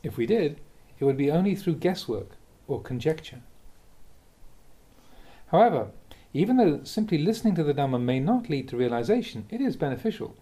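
A man reading a Buddhist teaching aloud like a monk giving a talk, in a measured, conversational and expressive way. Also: fairly high pitch. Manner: measured, expressive, with rhetorical questions. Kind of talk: read-aloud Dhamma talk, conversational delivery.

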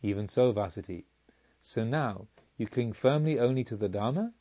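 A solemn, serene divine teacher from a storybook, voiced by a man, gently probing in a mellow tone. The delivery is stately and deliberate, on a slightly dull recording. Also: medium pitch, animated, pitched below the performer's own voice, close to an everyday voice. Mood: solemn approval.

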